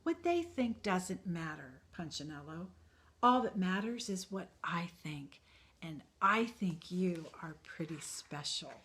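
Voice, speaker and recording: A woman voicing a kind, loving bearded woodcarver, speaking softly and tenderly, often near a whisper. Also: on the low side, big pitch swings, full character voice. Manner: soft, slow, tender, often hushed.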